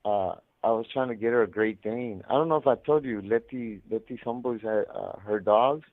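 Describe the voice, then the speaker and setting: A man's voice: fairly low pitch, fairly quick. A man telling stories casually over a muffled phone line, rambling in slangy, profanity-heavy talk. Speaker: incarcerated caller telling his life story. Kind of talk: casual storytelling over a prison phone call. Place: prison, on a phone.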